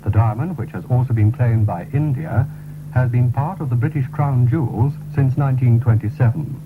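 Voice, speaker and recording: A male newsreader delivers a formal radio bulletin in a measured way, at an ordinary male pitch. The sound is thin and narrow, like an old 1970s broadcast heard through a small radio. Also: music in the background. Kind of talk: formal scripted news bulletin.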